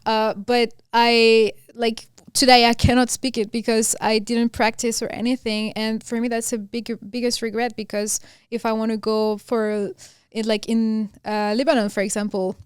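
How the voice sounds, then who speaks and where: Smooth-voiced podcast host from Morocco. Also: female voice, on the high side, normal speed, no echo, clean studio sound. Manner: laughs often, relaxed and supportive. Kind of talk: casual chat.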